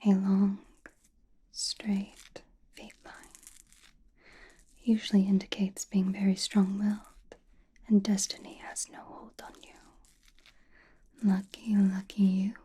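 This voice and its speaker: A woman playing a mysterious, attentive sorceress fortune-teller in a whispered ASMR roleplay, speaking softly and deliberately close to the microphone. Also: medium pitch, completely flat delivery, a little hiss or hum, natural voice. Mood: calm, mystical. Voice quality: breathy, mostly unvoiced whisper. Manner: slow, deliberate, soothing with soft pauses. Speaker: ASMR performer.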